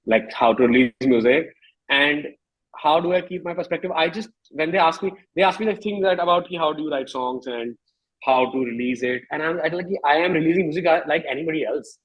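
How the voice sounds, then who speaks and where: A man's voice: on the high side, fairly quick, highs cut off. A man in his thirties with an Indian accent, a musician speaking casually and emphatically in an interview, repeating phrases for stress. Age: 30s.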